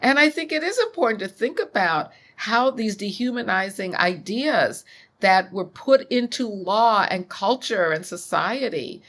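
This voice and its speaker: A woman in her sixties, a scholar being interviewed, speaking in a measured, thoughtful way. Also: mid-range voice. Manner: measured, thoughtful, occasional soft laughs. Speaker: guest scholar. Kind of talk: interview conversation.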